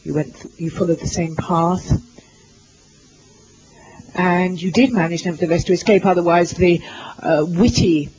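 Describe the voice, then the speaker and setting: A man with a high voice interprets a lecture and its audience questions live. He halts often, filling pauses and restarting phrases as he keeps pace with the speakers. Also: steady tone, darkish tone, a little hiss or hum, low-quality recording. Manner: halting, with many fillers and restarts while rendering others' words. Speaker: simultaneous interpreter. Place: lecture hall.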